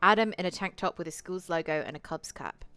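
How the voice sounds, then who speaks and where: A woman's voice: fairly low pitch, a little fast, bright, forceful tone, clear, resonant tone. A woman game streamer reading a visual novel aloud and chatting to viewers, with casual swearing and playful asides. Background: eerie game music.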